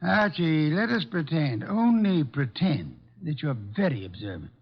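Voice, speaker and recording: An actor playing an imperious, impatient genius detective in an old-time radio drama, curt and sardonic, on a dull recording. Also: male voice, a little high, very animated, a little slow, full character voice.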